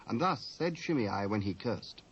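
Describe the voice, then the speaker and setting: A British man narrating scripture in a solemn, measured, scripted style. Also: fairly low pitch, animated, slightly rough. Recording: dull lo-fi cassette sound.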